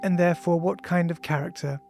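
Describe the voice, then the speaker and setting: Audiobook author, androgynous mid-pitched voice, measured science reading, clear music bed underneath. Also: fairly even intonation, darkish tone. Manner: measured, reads punctuation aloud. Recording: music behind the voice. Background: ambient music with bell-like tones.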